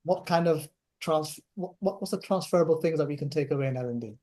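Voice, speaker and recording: A man hosting an online chat in a somewhat high but mellow voice. He stumbles over his words, repeating himself, and keeps the tone light and joking. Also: quick pace. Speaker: host. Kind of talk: hosting a webinar fireside chat.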